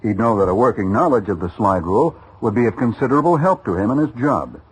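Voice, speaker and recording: Husky, lively American radio announcer man. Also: fairly low pitch, fast talker, poor audio. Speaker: announcer of armed forces education spot. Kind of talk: 1950s radio announcement, persuasive scripted pitch. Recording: vintage radio broadcast recording.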